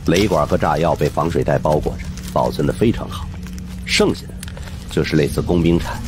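A man narrating a suspense horror audiobook in an expressive, dramatic storytelling manner. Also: a bass voice, fairly quick, background noise.

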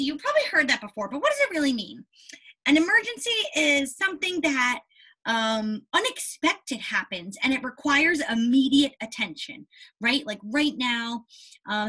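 A young woman speaks as a primary school teacher leading a read-aloud. Her voice sits a little high with a husky, unpolished edge. She is expressive and emphatic, repeating key words and checking in with her young listeners. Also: rough recording. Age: young adult. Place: small indoor room with a whiteboard.

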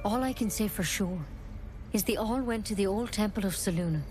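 An earnest actress's druid woman from a fantasy game, knowledgeable and frank. She explains things in a measured way, with faint music underneath. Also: medium pitch, a little mellow, lightly acted. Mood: grateful, solemn. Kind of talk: video game dialogue acting.

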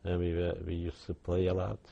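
An older man, giving halting oral history testimony with many restarts. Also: deep voice, completely flat delivery, creaky, dark tone, lo-fi sound. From Poland. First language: Yiddish. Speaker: interviewee.

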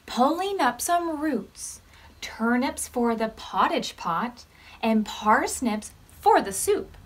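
Slow, higher-voiced American woman reading to preschoolers. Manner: expressive, measured reading with questions to young listeners. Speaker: children's librarian leading storytime. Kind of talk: read-aloud storytime for preschoolers.

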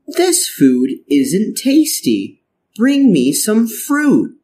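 A man's voice: high-pitched, huge pitch swings, very slow, darkish tone, a little hiss or hum, voice pushed up, heavily acted. A man voicing a spoiled, emphatic little princess in a children's storybook read-aloud. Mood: angry, demanding. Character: spoiled bossy little princess girl, later contrite.

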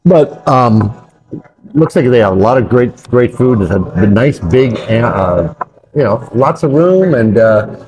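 A man's voice: medium pitch, lively intonation, a little slow, darkish tone, low-quality recording. An older man co-hosting a beer show in casual, unscripted chat, rambling and circling back over his phrases. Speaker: co-host of a beer review show. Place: brick-walled pub, indoors at a table.

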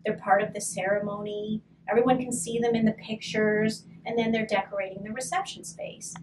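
A woman in her thirties, a hospice volunteer, gently reading a storybook to children and then explaining a craft, her voice often dropping to a whisper. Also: mid-range voice, fairly even intonation. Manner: soft, slow and gentle, often trailing to a whisper. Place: curtained room, indoors. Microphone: far camera. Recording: distant mic with faint room noise.

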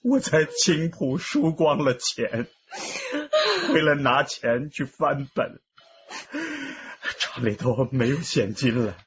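A man's voice: medium pitch, expressive, slow, husky, highs cut off, full character voice. An actor playing an anguished middle-aged father, a guilt-ridden gambler, in a radio drama. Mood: bitter confession. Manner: anguished, pleading. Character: guilt-ridden gambler father confessing to killing his wife. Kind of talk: emotional radio drama acting.

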